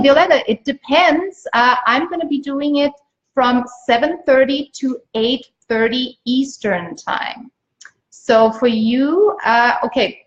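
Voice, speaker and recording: A woman from Austria, a native German speaker, speaks as a business coach giving an emphatic, repetitive motivational pitch on a livestream. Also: fairly bright tone. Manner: emphatic, repeats phrases for stress. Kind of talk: motivational live sales pitch to viewers. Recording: dull lo-fi livestream audio.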